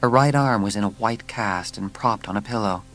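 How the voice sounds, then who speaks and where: A woman's voice: very deep voice, normal speed. Woman narrator, some background noise. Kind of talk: scripted audiobook narration.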